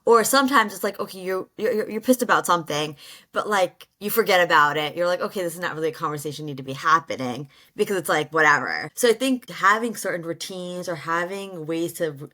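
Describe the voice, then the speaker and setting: A woman hosts a casual podcast chat, talking freely with "like" in nearly every phrase. Her voice sits a little low for a woman, and her talk runs loose and conversational. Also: brisk, sharp tone. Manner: chatty, many "like" fillers, laughs.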